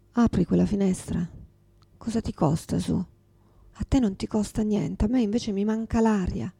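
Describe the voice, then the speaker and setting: Radio theatre monologue: exhausted, confused mother, raspy and creaky, fragmented delivery, bitter and pleading. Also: medium pitch, no echo, studio quality. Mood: agitated pleading. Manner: fragmented, repetitive, swearing, swinging from whispers to outbursts.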